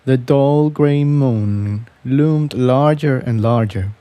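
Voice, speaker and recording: A man narrating a fan fiction story in a measured, scripted reading style. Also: mid-range voice, mellow, clean tone, no echo.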